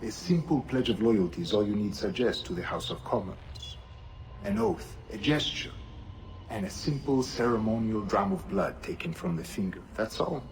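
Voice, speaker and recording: A persuasive scheming plotter. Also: male voice, fairly low pitch, very animated, moderate pace. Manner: persuasive, conspiratorial. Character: scheming male cult leader, smooth and persuasive. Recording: noisy background.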